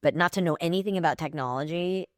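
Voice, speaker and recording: An American woman in her forties co-hosts a casual chat in a low-pitched voice. She is blunt and emphatic in how she puts things. The sound is dry, with very little room in it. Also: fast talker, no echo. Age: 40s. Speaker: podcast co-host.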